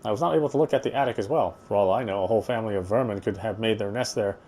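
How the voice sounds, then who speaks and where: A man's voice: lowish voice, very fast, a little mellow. Man reading a visual novel aloud. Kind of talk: read-aloud narration with casual asides.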